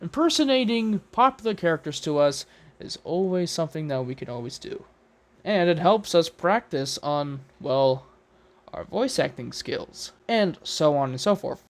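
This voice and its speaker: A man narrating a scripted tutorial as its host, with theatrical, drawn-out emphasis. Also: fairly high pitch, lively intonation.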